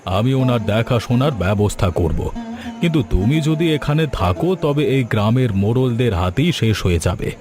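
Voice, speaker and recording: A man playing a kindly, protective gentleman in a cartoon drama, speaking in an earnest, fatherly way. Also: medium pitch, animated, moderate pace, lightly acted. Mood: earnest, reassuring. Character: kindly, protective middle-class gentleman who adopts a village girl. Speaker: cartoon character voice.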